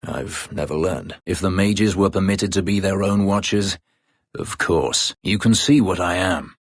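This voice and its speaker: A man playing a brooding, bitter escaped-slave elf warrior in a video game, terse, guarded and sardonic, with formal diction and a gravelly low voice. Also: unhurried, no room sound, studio quality. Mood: bitter, haunted. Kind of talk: video game character dialogue.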